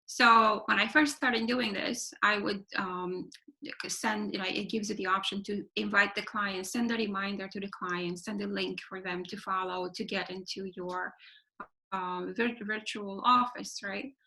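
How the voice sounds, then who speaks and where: Woman with a gravelly edge. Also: medium pitch, steady tone, a little slow, sharp tone, poor audio. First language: Romanian. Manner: explanatory, frequent "right?" tags and fillers. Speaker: clinical evaluator giving a webinar talk. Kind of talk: webinar presentation. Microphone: video call.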